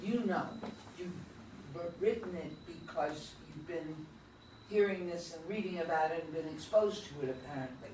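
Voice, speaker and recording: Man, high voice, blunt audience critic; far-off echoey room mic. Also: normal speed, noisy background. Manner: blunt, lists open questions. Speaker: audience member giving feedback. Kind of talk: group discussion after a play reading.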